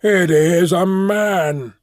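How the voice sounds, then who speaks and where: Man putting on a hunched beast-man, thick whistling voice, high, slow sing-song chant, storybook. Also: a little mellow, no echo, clean studio sound. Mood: solemn.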